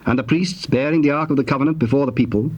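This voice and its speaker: A man with a British accent narrating scripture in a solemn, formal, deliberate way. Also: medium pitch, darkish tone. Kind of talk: scripted dramatic Bible narration. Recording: dull, noisy old cassette tape.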